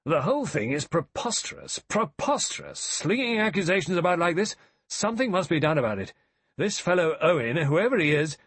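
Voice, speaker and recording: A man's voice: on the high side, very animated, brisk, a bit bright, husky, voice pushed up, full character voice. A man voicing a blustering, indignant older general in an audiobook, spluttering with emphasis. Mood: outraged. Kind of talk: audiobook character voice.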